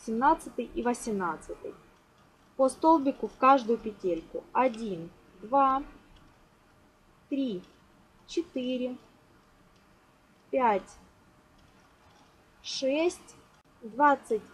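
Woman, fairly high pitch, craft tutorial host, step-by-step instruction, slight background noise. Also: a little mellow. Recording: noisy home recording.

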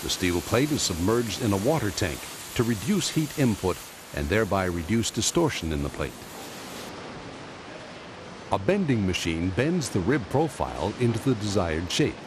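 A man reading scripted documentary narration in a measured, explanatory way, recorded as a studio voice-over. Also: fairly low pitch, big pitch swings, background noise.

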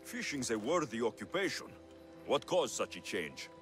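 A firm, practical mercenary hero from a video game. The voice is mid-pitched and lively in its swings, direct yet reassuring. It carries long reverb over music. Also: male voice, normal speed. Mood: matter-of-fact. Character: steady, practical young male ancient Greek mercenary hero. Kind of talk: video game cutscene acting.

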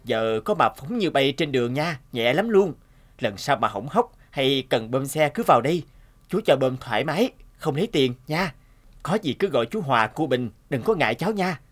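A man's voice: mid-range voice, big pitch swings, normal speed. A man voicing a kind, friendly young father who repairs bikes in a cartoon, speaking reassuringly. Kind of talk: cartoon voice acting.

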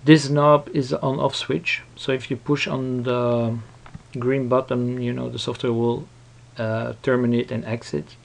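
Man, tutorial presenter, hesitant, unhurried pace, vocal fry. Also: medium pitch, flat delivery. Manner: hesitant, halting explanation. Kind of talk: casual unscripted screen-recording walkthrough.

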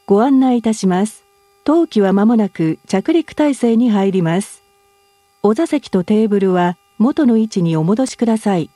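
A woman's voice: medium pitch, animated, drawn-out words, mellow, dry recording. A woman as a cabin announcer, reading a formal scripted announcement for airline passengers.

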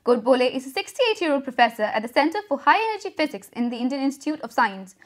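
Fast-reading young woman news anchor. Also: high-pitched. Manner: brisk, even headline delivery. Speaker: student news reader.

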